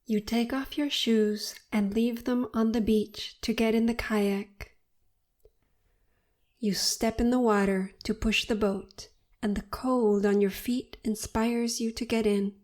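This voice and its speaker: A woman narrating a guided meditation in a soothing, unhurried and deliberate manner. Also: on the high side, fairly even intonation, a little mellow.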